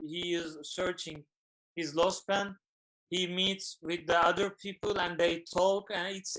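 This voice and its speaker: Turkish-accented man, halting careful speech. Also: high voice, a bit bright, low-quality recording. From Turkey. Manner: halting, searching for words in English. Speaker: film director guest. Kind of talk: online panel interview.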